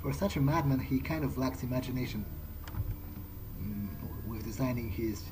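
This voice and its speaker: A man's voice: mid-range voice, moderate pace, noisy background. A man giving casual, in-character gameplay commentary, musing aloud to himself in a wry way. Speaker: let's play host. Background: game music.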